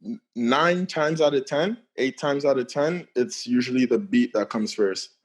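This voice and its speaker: A man's voice: mid-range voice, measured pace. Chill young guy from cameroon chatting about his music, loose and rambly, lots of like and you know. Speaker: guest musician. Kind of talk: casual podcast interview.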